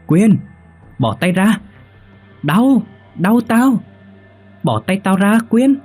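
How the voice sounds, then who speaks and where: A man's voice: lowish voice, normal speed, a little mellow, slightly rough, faint music behind. Man voicing a blunt, caring young female student. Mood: pained alarm. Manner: bossy, colloquial, exclaiming.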